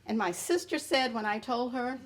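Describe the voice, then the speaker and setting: A middle-aged woman reading her personal essay aloud in a wry storytelling manner with pointed pauses. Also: on the high side. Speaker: writer reading own piece.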